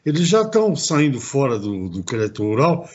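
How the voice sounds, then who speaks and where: A man's voice: mid-range voice, sing-song, brisk, darkish tone, muffled recording. A man hosting an interview show, chatting in a rambling way with many restarts. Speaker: host. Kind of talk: casual interview talk.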